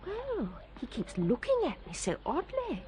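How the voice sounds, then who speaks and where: An actress playing a lively young aristocratic lady with an upper-class English accent, teasing and expressive, in a radio drama. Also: sits high, measured pace, crowd noise, full character voice. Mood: puzzled.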